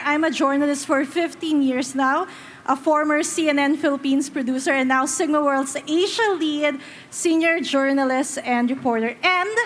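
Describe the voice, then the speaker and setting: A woman's voice: high-pitched, a bit bright, slight background noise. A woman journalist moderating a conference panel on stage, upbeat and enthusiastic.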